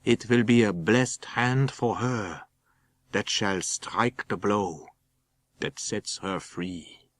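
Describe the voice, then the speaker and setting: Man doing a foreign professor, vampire hunter, grave, commanding, slow solemn delivery, broken grammar, Gothic storybook. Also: on the low side, dry recording. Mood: solemn, instructing.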